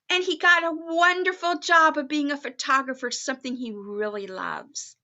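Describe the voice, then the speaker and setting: A woman's voice: sits high, pushed and bright, muffled recording. A woman reading a children's story in a sing-song, child-directed way, chatting to young listeners in the role of Mrs. Claus. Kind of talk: children's story time read-aloud with asides.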